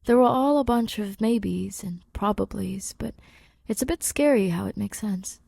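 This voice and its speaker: A woman's voice: medium pitch, moderate pace, gravelly, a little mellow, breathy edge, dry recording, clean studio sound. A woman narrating a scripted story as a podfic reader, in a conversational, wry way.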